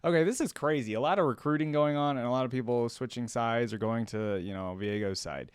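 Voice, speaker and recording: A man's voice: medium pitch, a little fast, clean tone, no echo, studio quality. A man hosting a reaction video, commenting casually and thinking aloud with curiosity.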